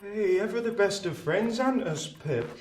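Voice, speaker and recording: A man playing a gentle, slow-witted, uneducated country blacksmith with halting rustic speech in a radio play. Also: sits high, lively intonation, echoey room, far from the mic. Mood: fond, reminiscing.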